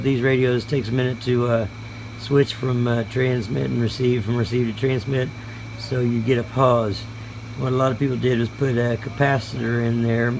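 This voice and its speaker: Creaky-voiced man, halting and folksy. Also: mid-range voice. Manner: hesitant, halting, folksy delivery. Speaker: YouTube hobbyist presenter. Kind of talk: casual show-and-tell. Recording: noisy room with steady background hum.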